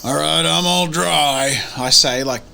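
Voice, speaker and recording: A man's voice: on the high side, measured pace, vocal fry, background noise. A man chatting casually as a player in a tabletop role-playing game.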